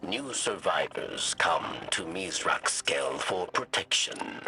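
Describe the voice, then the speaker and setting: Game casting: grave old alien ally, deep, halting, very drawn-out speech. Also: male voice, creaky, pushed and bright, exaggerated voice. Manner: halting, deliberate, oddly phrased speech. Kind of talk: video game dramatic dialogue. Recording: noisy game ambience behind voice.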